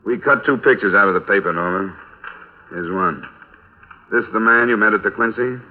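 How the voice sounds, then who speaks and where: An American actor playing a matter-of-fact, probing insurance investigator in old-time radio detective drama, with clipped delivery. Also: male voice, on the low side, normal speed, natural voice. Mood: pressing. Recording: tinny vintage radio recording with music.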